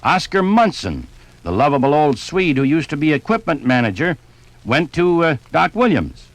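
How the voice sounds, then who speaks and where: A man's voice: on the high side, big pitch swings, normal speed, no echo, rough recording. A man delivering scripted sports narration in the style of a 1950s radio broadcast.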